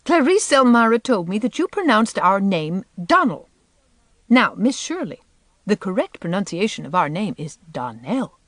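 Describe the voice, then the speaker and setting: A woman's voice: medium pitch, sing-song, moderate pace, no room sound, clean studio sound. A woman voicing a haughty, affected society mother in a storybook, indignant and insistent, with pompous, emphatic delivery. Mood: haughty, annoyed. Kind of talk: audiobook character voice.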